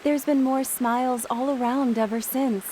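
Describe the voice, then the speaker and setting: A woman's voice: high-pitched, fairly even intonation, moderate pace, darkish tone, a little hiss or hum, lightly acted, rough recording. A woman voicing an earnest, respectful young townswoman in a video game, polite and sincere. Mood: grateful. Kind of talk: scripted video game voice acting.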